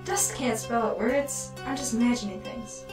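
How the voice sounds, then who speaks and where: A woman's voice: a little high, moderate pace, recorded from a distance, lightly acted. A woman acting a hesitant, uneasy young girl, an older sister in a radio play. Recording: roomy, loud music underneath.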